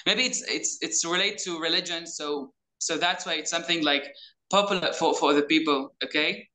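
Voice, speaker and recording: A man's voice: fairly high pitch, normal speed, sharp tone. A young man from Syria, a student in an online class, talking hesitantly with many restarts and light laughs. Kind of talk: casual class chat. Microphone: laptop mic on video call. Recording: echoey room over video call.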